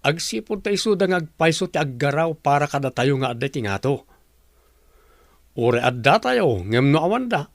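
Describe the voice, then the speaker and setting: A man's voice: on the high side, big pitch swings, normal speed, no echo, studio quality. An actor playing a stern, demanding company boss and father in a radio drama, with an Ilocano Filipino accent. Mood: businesslike.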